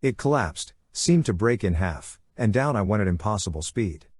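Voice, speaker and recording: Male audiobook narrator, slightly low and rough-edged, conversational yet dramatic delivery of a young adult adventure. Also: expressive, moderate pace, a little mellow, no room sound, studio quality. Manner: conversational, punchy short phrases, acts out sound effects.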